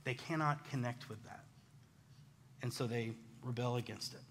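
An American man preaching a conversational sermon, casual, animated and self-deprecating, telling personal stories as a pastor. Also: mid-range voice, moderate pace, a little hiss or hum. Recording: reverberant hall.

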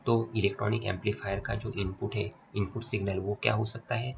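A man's voice: lowish voice, flat delivery, fairly quick, gravelly. A man with an Indian accent giving a slide lecture, reading points aloud and explaining them step by step. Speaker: polytechnic lecturer. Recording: dull lo-fi audio, faint noise, clicks.

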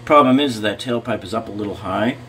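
A man's voice: fairly low pitch, a little fast, background noise. A man narrating a hands-on bathroom repair how-to, explaining each step in a practical way with casual fillers. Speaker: DIY remodeling host. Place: home bathroom, indoors.

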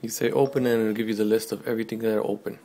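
A man casually demoing an app on camera, joking and talking loudly to the device at his desk. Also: lowish voice, fairly even intonation, rapid-fire, a little hiss or hum. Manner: offhand, joking, says commands loud to the device. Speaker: tech video host. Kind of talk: casual product demo. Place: small room at a desk.

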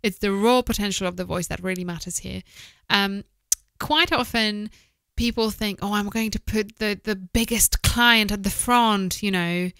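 A woman's voice: mid-range voice, moderate pace, fairly bright tone, clean tone, no echo. A woman in her thirties giving informal, candid advice in a conversational, opinionated way, speaking close into a studio microphone. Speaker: voice-over producer giving advice. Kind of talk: informal advice to camera, podcast style. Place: small indoor office. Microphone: close studio microphone with foam windscreen, headphones.

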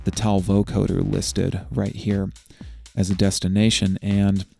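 A man narrating a step-by-step software tutorial in a methodical, explanatory way. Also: lowish voice, gravelly.

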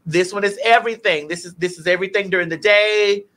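Brash woman, bright forceful voice. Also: medium pitch, steady tone, fast. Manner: brash, playful, blunt. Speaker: talk show host. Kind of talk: casual profane livestream chat. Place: indoor studio livestream. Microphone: studio mic on stand, close.

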